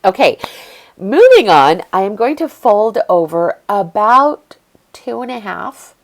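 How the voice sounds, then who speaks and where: A woman's voice: mid-range voice, big pitch swings, slow. A middle-aged woman, a bit congested, chatting easily through a step-by-step craft tutorial as she works. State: congested from allergies. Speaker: craft video host.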